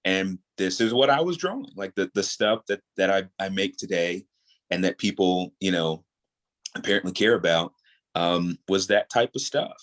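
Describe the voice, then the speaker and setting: A man speaking as a guest in a casual interview, earnest and reflective, building his thoughts in halting phrases. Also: deep voice, expressive, fairly bright tone. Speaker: podcast guest, audio drama creator.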